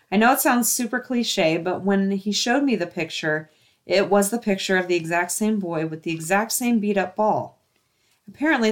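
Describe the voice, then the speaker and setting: A woman reads stories aloud as the host of a show. She has a middle-pitched voice and keeps the delivery casual and chatty, like someone sharing submissions with a friend.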